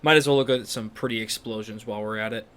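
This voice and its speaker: A woman giving casual playthrough commentary on a game, with wry, deadpan asides. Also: a bass voice, fast talker. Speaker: gaming video creator.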